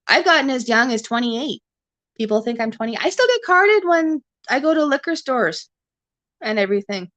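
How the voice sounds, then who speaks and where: A woman's voice: high voice, big pitch swings, moderate pace, a bit bright, no echo. A Canadian woman in her forties chatting casually with viewers on a livestream, rambling with many restarts and fillers. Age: 40s. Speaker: livestream host. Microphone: webcam or phone.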